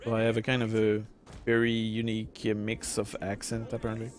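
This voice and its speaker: A man's voice: on the low side, monotone, fairly quick, soft background music. A middle-aged francophone man with a French Canadian accent, rambling casually with many fillers while commenting on a strategy game. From Quebec, Canada. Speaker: gameplay streamer.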